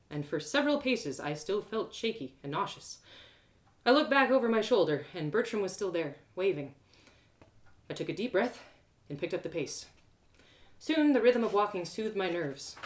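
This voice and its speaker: A woman voicing an earnest young woman adventurer telling her own fantasy journey in a gentle, wistful, expressive way. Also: mid-range voice, moderate pace, natural voice. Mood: hopeful, wistful. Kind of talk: first-person fantasy storybook narration.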